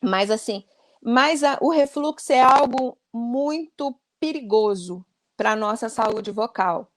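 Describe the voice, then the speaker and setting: A woman with a high voice talks through voice care in an earnest, explanatory way. She speaks over a video call, and the sound is very dry. She often ends sentences with "né?" as she goes. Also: expressive, takes it slowly, dry recording. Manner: earnest and explanatory, many "né?" tags. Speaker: speech therapist guest.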